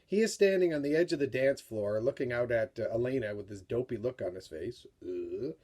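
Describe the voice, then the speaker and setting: A middle-aged man giving an author's talk and read-aloud for young readers, telling it in an animated, dramatic storyteller's manner. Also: medium pitch, fairly quick. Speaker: children's author reading from his book.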